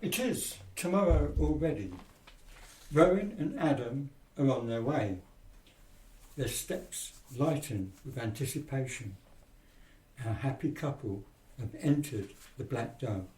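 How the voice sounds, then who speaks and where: A slow-paced male narrator played by an actor sets scenes for a radio play. His medium-pitched voice sounds roomy and somewhat distant from the microphone. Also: animated, a little mellow, close to an everyday voice. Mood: measured. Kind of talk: scripted narration.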